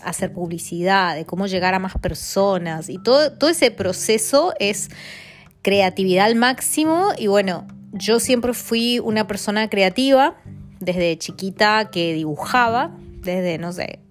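A woman's voice: medium pitch, animated, unhurried, soft background music. A woman from Mendoza, Argentina, in her thirties, hosting a casual podcast monologue with an Argentine accent, chatting with lots of fillers and tag questions. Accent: Argentine Spanish. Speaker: podcast host.

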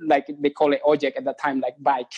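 Halting man telling his story. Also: mid-range voice, level tone, a little mellow, husky, lo-fi sound. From East Timor. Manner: halting, many restarts and repeated words. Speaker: guest. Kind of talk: casual interview.

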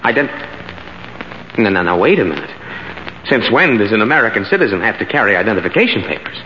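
An actor playing a bewildered young scientist in an old radio drama, stammering, flustered and earnest. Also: male voice, on the low side, sing-song, brisk, bright, forceful tone. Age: 20s. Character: bewildered, harmless young male scientist time traveler. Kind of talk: 1950s radio drama acting. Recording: dull, hissy old radio recording.

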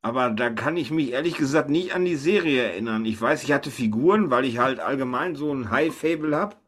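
A man's voice: mid-range voice, expressive, a little fast. A man hosting a podcast, chatting casually and informally about pop culture with his co-hosts. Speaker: podcast host.

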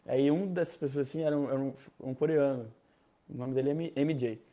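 A young man from Rio de Janeiro with a Carioca accent, casually telling a personal story, animated and slangy, acting out other people's lines. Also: mid-range voice, brisk. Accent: Carioca Brazilian Portuguese. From Rio de Janeiro, Brazil.

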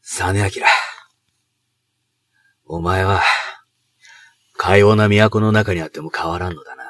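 A man's voice: sits low, fairly even intonation, slow, fairly bright tone, no echo, full character voice. An actor playing a proud middle-aged noble commander and father in a Japanese period audio drama, speaking in archaic, imperious samurai language. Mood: weary, resigned.

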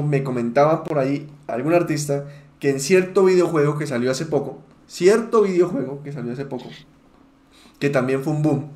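A man hosts a relaxed podcast chat. He steers the conversation and laughs easily. His voice rings in an echoey room. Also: on the high side. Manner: laughs often, leads the talk. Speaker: podcast host.

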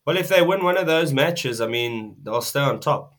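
A man's voice: medium pitch, expressive, moderate pace, fairly bright tone. A man co-hosting a football podcast, teasing the others and swearing casually. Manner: teasing, swears, laughs often. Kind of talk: casual banter.

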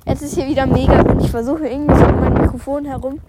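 A woman's voice: high voice, fairly even intonation, fairly quick. Stuffy-nosed young woman, wind-blown. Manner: chatty, self-conscious, many fillers and restarts. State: stuffy nose from a cold. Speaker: podcast host. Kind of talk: casual rambling podcast recorded outdoors. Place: outdoors at a horse paddock in wind. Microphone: phone held while walking. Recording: loud wind noise on phone mic.

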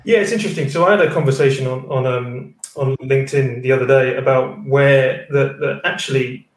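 A man's voice: mid-range voice, steady tone, brisk. A man hosting a live webinar over a video call, sitting some way back from the mic. His voice echoes in a roomy space. He rambles, packing his sentences with fillers and "kind of". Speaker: stand-in host. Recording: roomy sound, distant from the mic.

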